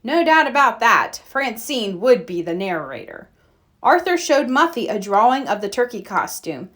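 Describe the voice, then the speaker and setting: Animated woman reading a children's story. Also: fairly high pitch, moderate pace. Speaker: storybook reader. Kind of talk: expressive children's read-aloud narration.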